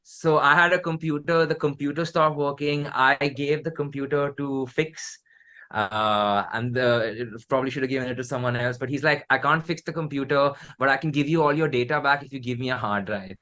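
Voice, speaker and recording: A man's voice: medium pitch, moderate pace, pushed and bright. A man in his thirties with an Indian accent, chatting casually as a musician being interviewed, circling back and restarting his phrases as he tells anecdotes. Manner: rambling, repeats and restarts phrases.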